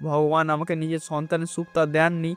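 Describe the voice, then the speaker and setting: Fast, earnest soldier played by a man. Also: fairly high pitch, completely flat delivery, clean tone, soft background music, close to an everyday voice. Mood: earnest pleading. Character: loyal anxious soldier, adoptive father confessing a secret. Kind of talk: Bengali fairy-tale cartoon dubbing.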